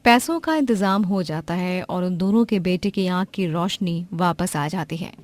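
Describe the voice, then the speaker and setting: A woman narrating an animated moral story in a plain, even manner. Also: on the low side, normal speed, dry recording.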